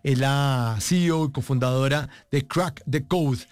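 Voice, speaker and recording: A man's voice: medium pitch, a little slow, darkish tone, no echo. A man hosting a radio interview, with a joking, teasing manner and frequent laughs. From Colombia. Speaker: radio show host. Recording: music playing faintly underneath.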